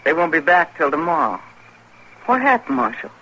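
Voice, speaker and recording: A woman's voice: lowish voice, sing-song, normal speed, gravelly, pushed and bright, heavily acted, low-quality recording. An actress playing a guarded, lonely young saloon girl in an old-time radio western. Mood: anxious, guarded. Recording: thin old radio recording, faint noise.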